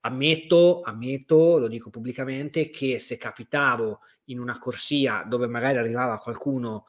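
A man's voice: medium pitch, normal speed. A man chatting casually as a co-host, telling stories emphatically, repeating himself and swearing freely. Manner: animated storytelling, swearing, emphatic repetition. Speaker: co-host of a livestream talk show. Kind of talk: casual chat.

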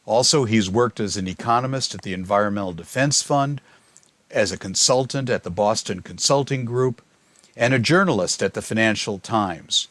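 A middle-aged man with a fairly low voice hosts an academic podcast. He opens with a measured, scripted introduction and then moves into easy conversational questions with his guest. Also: a bit bright, no echo. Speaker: podcast host, professor. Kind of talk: formal podcast interview.

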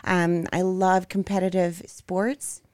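A woman from Brazil with a Brazilian accent, a guest in a casual interview, talking in an earnest, unhurried way that turns spirited. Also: lowish voice, flat delivery, a little mellow, no echo, clean studio sound. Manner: earnest and unhurried, turning spirited about soccer. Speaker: guest, pro figure competitor.

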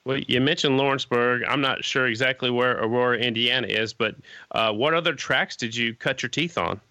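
Man hosting a podcast, easygoing and friendly, bright, forward voice, dry close sound. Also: mid-range voice, a little fast, no echo. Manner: friendly, easygoing, says "right on" a lot. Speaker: podcast host. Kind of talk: casual interview.

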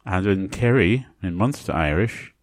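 Deep-voiced man explaining, dry close sound. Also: lively intonation, normal speed, dry recording. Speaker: podcast host. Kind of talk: explanatory lecture narration on language.

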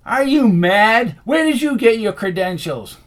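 A man's voice: high voice, a little slow, voice pushed up. A man voicing an urgent, hot-tempered male medic in an audiobook, emphatic and exclaiming. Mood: indignant.

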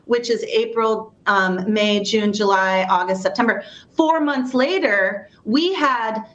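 A woman hosting a radio discussion, brisk and steering the conversation. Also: medium pitch, a bit bright, highs cut off. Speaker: radio show host.

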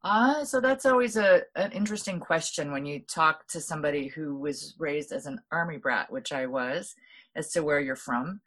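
Very low-voiced woman telling her story. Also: normal speed, a bit bright. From Hawaii. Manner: thoughtful, explanatory, with many ums and restarts. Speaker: guest, food policy founder. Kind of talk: interview storytelling.

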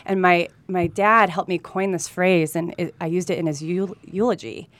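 A woman's voice: on the low side, fairly even intonation, moderate pace, a bit bright. A woman from California talking earnestly and candidly as a guest in a conversational interview. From United States (California), also lived in Colombia. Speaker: interview guest, real estate agent. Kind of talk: conversational interview, personal anecdotes.

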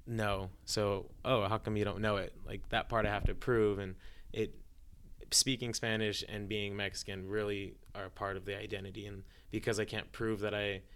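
A man's voice: lowish voice, little pitch movement, moderate pace, a bit bright, clear, resonant tone. A young man with an American accent, giving a reflective personal account as a podcast guest. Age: young adult.

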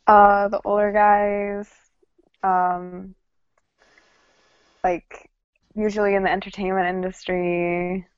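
Brief: female co-host, short shy replies, smooth voice, dry muffled sound. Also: mid-range voice, flat delivery, slow, pauses often, no echo. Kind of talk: casual interview talk.

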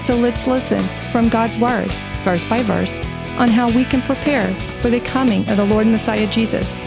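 A woman's voice: on the high side, moderate pace, gravelly. A woman reading a scripted devotional introduction as a program announcer. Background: guitar music.

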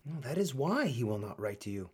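A man acting in a radio play as a stern but protective older colonel and uncle figure, grave and deliberate. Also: mid-range voice, big pitch swings, husky. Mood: grave, warning.

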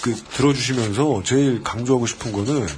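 A male host in casual talk, making reflective points about principle in long, measured sentences. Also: medium pitch, darkish tone, a little hiss or hum. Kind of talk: casual podcast chat.

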